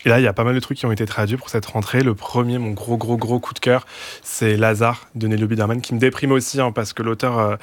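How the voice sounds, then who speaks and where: A man gives his book picks on a radio show, casual and keen. His voice sits at an average pitch for a man and sounds natural. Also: level tone, fast talker. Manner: enthusiastic, informal. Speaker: book critic.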